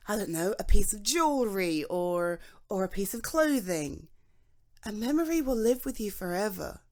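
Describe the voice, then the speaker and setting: A young British woman speaking to a friend in an intimate ASMR roleplay, chatty and reassuring, with frequent soft laughs. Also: fairly high pitch. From Britain.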